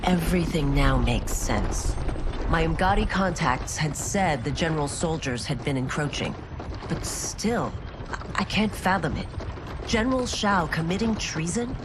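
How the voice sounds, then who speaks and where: A woman's voice: deep voice, animated, unhurried, noisy background. An actress playing a stern, honourable warrior woman in a video game, solemn, resolute and formal, with dramatic delivery. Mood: troubled disbelief. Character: stern, honourable woman warrior, former royal guard turned constable. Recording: music behind.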